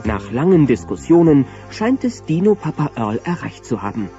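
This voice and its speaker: A man's voice: mid-range voice, big pitch swings, moderate pace, dark tone. A man narrating a scripted audio play as a storyteller. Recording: dull cassette with faint music.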